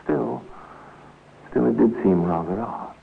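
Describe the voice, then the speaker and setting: A man narrating a 1950s radio crime drama in a grave, wry, deliberate manner. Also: medium pitch, vocal fry. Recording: tinny, noisy 1950s radio recording.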